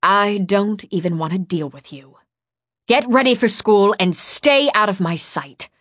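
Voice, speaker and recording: A woman's voice: lowish voice, brisk, husky, dry recording, studio quality. A woman voicing a stern, commanding older woman with a severe, clipped manner in an audiobook. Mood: cold, disgusted. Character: stern, furious older woman of authority, imperious and scolding. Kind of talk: audiobook character dialogue.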